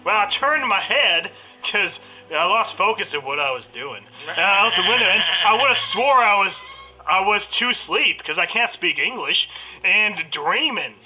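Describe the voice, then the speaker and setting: A woman playing a dim, crude sheep farmer who rambles haltingly in a put-on Southern accent for a radio drama parody. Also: medium pitch, lively intonation, sharp tone, slightly rough, faint music behind, full character voice, rough recording. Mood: folksy, riled.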